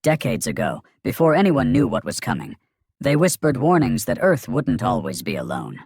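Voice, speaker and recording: A man narrates dramatic science fiction with a gravelly, husky voice. His delivery is grave and ominous, landing short emphatic phrases with animated swings in pitch. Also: moderate pace, dry recording, clean studio sound. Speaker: story narrator. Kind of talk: dramatic scripted sci-fi story narration.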